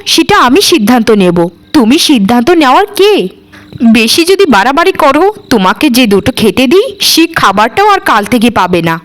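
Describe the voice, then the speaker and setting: High-voiced greedy scheming housemaid played by a woman. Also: big pitch swings, moderate pace. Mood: scornful, boastful. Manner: dramatic, emphatic repetition. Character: greedy, scheming, sharp-tongued housemaid with an injured husband. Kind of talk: Bengali cartoon voice acting.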